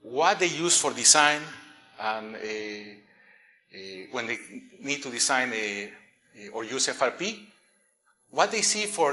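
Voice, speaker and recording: A middle-aged man from Peru presents at a conference. His voice is bright and sits in a middle register, and he pauses with many fillers and restarts as he goes. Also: mid-range voice. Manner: light joking aside. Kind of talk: conference talk.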